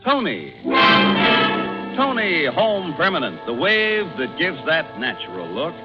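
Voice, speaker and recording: Over loud music, a male 1940s radio announcer reads a sponsor line in a high, hugely animated voice. The vintage recording is dull. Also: slow.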